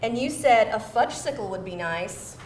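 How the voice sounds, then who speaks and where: A woman's voice: on the high side, lively intonation, moderate pace, a little mellow, lightly acted. A woman playing an exasperated, suspicious lover with a sarcastic, incredulous manner in a comedic live screenplay reading. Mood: exasperated, indignant. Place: indoor venue, live reading. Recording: echoey room, faint noise.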